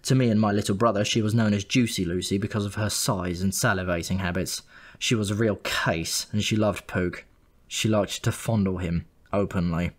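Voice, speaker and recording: Low-voiced man narrating a wry story. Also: fairly even intonation, moderate pace. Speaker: audiobook narrator. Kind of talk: first-person comic storytelling, scripted narration.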